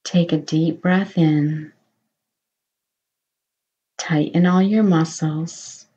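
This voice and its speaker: Woman, meditation guide, soothing, extremely slow delivery, slightly dull recording. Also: sits low, steady tone. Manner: slow, soothing, gentle reassurance.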